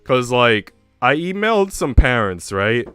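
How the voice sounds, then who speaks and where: A man's voice: medium pitch, very animated, measured pace, bright, forceful tone, clean tone, no room sound. A young American man chatting casually with livestream viewers in slangy, informal banter, swearing freely. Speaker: livestreamer and host. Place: indoor room at home. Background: music.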